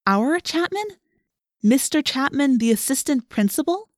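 A narrator voicing a sharp-tongued teenage girl, dry and questioning, in an audiobook. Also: high-pitched, lively intonation, unhurried, no room sound, pitched above the performer's own voice. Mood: incredulous.

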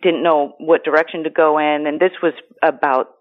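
A woman's voice: sits low, steady tone, moderate pace. Greek woman on a tinny phone line. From United States. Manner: rambling, frequent fillers and restarts. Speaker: guest. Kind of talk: casual interview talk.

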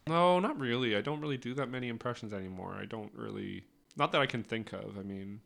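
A comedian being interviewed in a casual podcast chat, rambling and hedging with many restarts. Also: male voice, mid-range voice, quick pace, creaky, fairly bright tone. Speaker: comedian guest.

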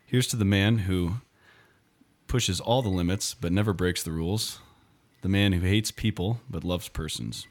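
Low-voiced joking priest host. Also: male voice, moderate pace. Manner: joking, teasing. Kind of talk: casual banter. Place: studio, sharing a microphone.

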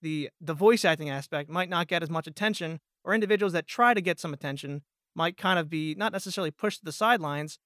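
Man, higher pitch, forceful bright tone, conversational, rambling explainer, guest. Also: quick pace, no room sound, studio quality. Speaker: voice actor guest. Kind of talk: casual interview chat.

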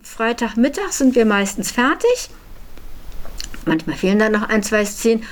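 A woman with a slightly high voice talks in an explanatory interview manner. She sounds like an organizer describing how a workshop runs. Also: big pitch swings, moderate pace, slight background noise.

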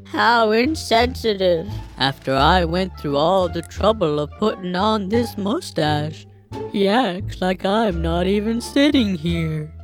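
A woman's voice: medium pitch, lively intonation, slow pace, faint music behind. A woman voicing a magical talking stew in a family audio drama, sweet and coy, with syrupy, wounded innocence.

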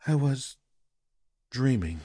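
A man's voice: medium pitch, expressive, takes it slowly, mellow, slightly rough, no room sound, clean studio sound, voice pushed up. A man playing an earnest, troubled nobleman who yearns to make music, speaking hesitantly in an audio story. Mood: uneasy.

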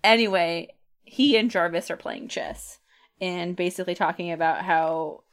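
A woman's voice: fairly low pitch, normal speed, clean tone. A woman co-hosting a podcast, talking in an informal, conversational way as she recaps a comic book. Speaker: podcast co-host. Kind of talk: casual chat, recapping comic books.

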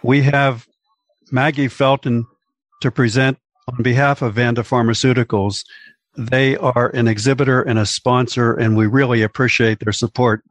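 A man's voice: mid-range voice, level tone, moderate pace, no room sound. A man hosting an event and introducing a speaker in an informal, polite way.

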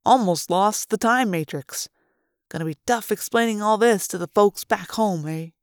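A woman's voice: mid-range voice, normal speed, no room sound, studio quality, voice pushed up, lightly acted. Woman doing a sneering, taunting man. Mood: mocking. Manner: taunting, drawling. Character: sneering smug adult human man, traitor.

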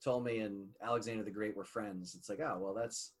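A man in casual conversation, playful and quick to laugh. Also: fairly low pitch, fairly even intonation. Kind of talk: casual chat.

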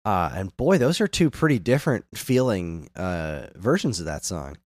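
Deep-voiced man talking game music. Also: very animated, normal speed, a little mellow, no room sound, studio quality. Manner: enthusiastic, hums melodies to illustrate. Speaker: music discussion podcast host. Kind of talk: casual music commentary.

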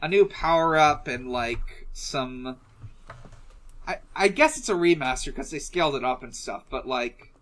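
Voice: mid-range voice, expressive, unhurried, fairly bright tone. A podcast host chatting casually and reading out listener questions, hesitant and often trailing off mid-thought.

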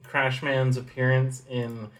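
A man's voice: mid-range voice, little pitch movement, takes it slowly, a bit bright, a little hiss or hum, poor audio. A man co-hosting a podcast, chatting casually with dry jokes and frequent laughter. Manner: dry, joking, laughs often. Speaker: podcast co-host. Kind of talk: casual chat.

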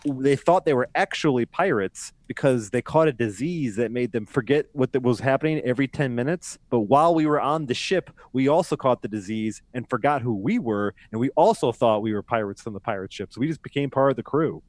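A man's voice: mid-range voice, animated, fairly quick, hardly any pauses, no room sound. A man with an ordinary mid-range voice teases his co-hosts and laughs often in loose podcast chat. The sound is close and dry, with no room around it. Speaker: podcast co-host. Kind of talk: casual comedic chat.

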